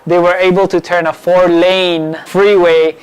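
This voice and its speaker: A man who grew up in Hawaii, welcoming people to a community bike shop, speaking in a halting way with many fillers. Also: high voice, level tone, smooth, low-quality recording. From Hawaii, born in the Philippines. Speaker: program manager of a youth bike shop. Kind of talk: spoken welcome and introduction.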